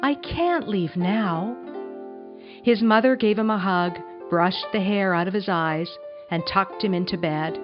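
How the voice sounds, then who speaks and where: A woman's voice: medium pitch, fairly even intonation, normal speed, few pauses. A woman narrating a children's storybook, in a slightly dull recording with music behind her. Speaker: storybook reader.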